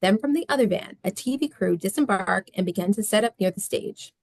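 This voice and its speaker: A woman's voice: mid-range voice, lively intonation, brisk, a crowd in the background. A woman reading scripted stage directions as narrator of a screenplay table read.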